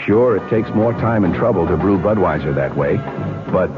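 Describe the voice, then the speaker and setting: A man reading a scripted radio commercial in a smooth, persuasive manner. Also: low-pitched, animated, moderate pace. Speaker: commercial announcer. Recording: muffled old radio broadcast with music.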